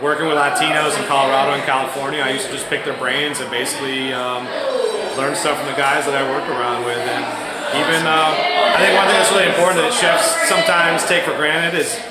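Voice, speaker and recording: A man from Vermont who works as a chef, answering interview questions in a relaxed, thoughtful way with frequent ums. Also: on the high side, fairly quick. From Vermont, USA. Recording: roomy echo, loud background noise.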